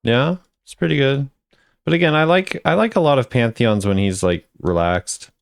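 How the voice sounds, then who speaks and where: A man's voice: mid-range voice, normal speed, creaky, no room sound, clean studio sound. A man giving offhand, casual commentary as a video creator, musing conversationally as he rates things. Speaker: video creator ranking game voice lines.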